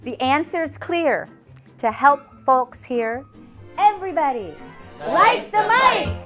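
A middle-aged woman speaks loudly and cheerfully in a conference room. Her voice is high and swings animatedly. Faint music sits behind her. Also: expressive, takes it slowly.

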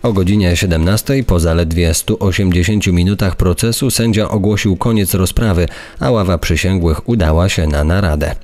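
A man's voice: sits low, moderate pace, darkish tone. A man reading scripted true-crime narration.

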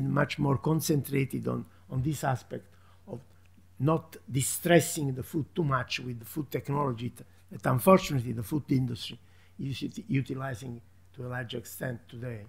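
Gravelly, husky man on a conference panel. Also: mid-range voice. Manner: halting, many restarts and fillers. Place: large conference hall, seated at panel table. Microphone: table microphone.

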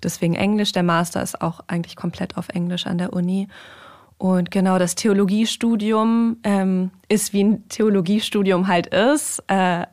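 Junge Frau, erklärt locker im Gespräch. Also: female voice, medium pitch, fairly even intonation, normal speed, darkish tone. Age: young adult. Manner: informal, explanatory. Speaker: podcast guest, rabbinical trainee. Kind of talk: casual conversation.

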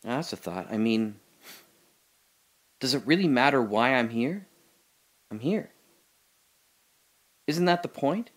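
A man's voice: medium pitch, expressive, slow pace, pauses often. A lone prisoner in a cell questions who he is and what his memories mean, played by an actor. He talks in an audio drama monologue, musing and searching, wry one moment and earnest the next, with a mid-range male voice. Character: man alone in a holding cell, doubting his memories.

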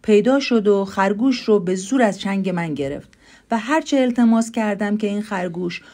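A woman's voice: medium pitch, mellow, lightly acted. A woman as a clever, earnest storybook rabbit. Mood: pleading, meek. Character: clever, persuasive male rabbit of a fairy tale.

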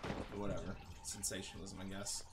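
A man's voice: low-pitched, flat delivery, a little fast, creaky, noisy background. A man giving casual gameplay commentary in a joking, sarcastic, crude way. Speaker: gaming streamer.

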